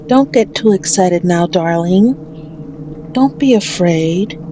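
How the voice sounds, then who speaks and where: A woman playing a sadistic, possessive female mafia boss in an ASMR roleplay, mocking and menacing, with drawn-out taunting speech. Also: expressive, noisy background. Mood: smug, menacing. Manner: slow, taunting, drawn-out with frequent low chuckles.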